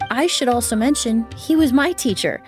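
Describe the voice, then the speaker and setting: Casting: woman voicing a poised young perfumer, slightly high, courteous and earnest, light music behind. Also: lightly acted. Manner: polite, composed, measured. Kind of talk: video game voice acting.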